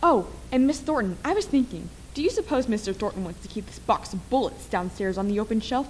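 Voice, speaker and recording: A woman's voice: medium pitch, expressive, fairly quick, natural voice, poor audio. A woman playing an eager, deferential, chatty housemaid in a radio play, with some noise on the recording.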